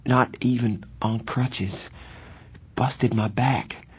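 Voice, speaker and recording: A man voicing a badly injured, frightened sixteen-year-old street boy in an audiobook, weak and breathy, with halting, slangy speech. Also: mid-range voice, a little mellow, pitched above the performer's own voice. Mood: scared, despairing. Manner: halting, drawling working-class slang.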